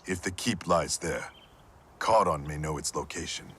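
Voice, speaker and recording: A man's voice: a bass voice, normal speed, a bit bright, slight background noise. A man playing a stern, dutiful warrior in a video game cutscene, terse and formal. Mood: grave, dutiful. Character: stern, dutiful male warrior, once undead.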